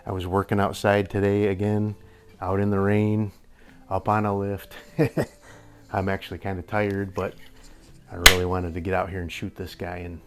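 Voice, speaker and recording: An older man with a slightly hoarse voice, rambling through a casual airgun review in a workshop and chuckling often. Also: sits low, level tone, moderate pace. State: a little hoarse. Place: indoor workshop with tool wall. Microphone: camera at a few feet.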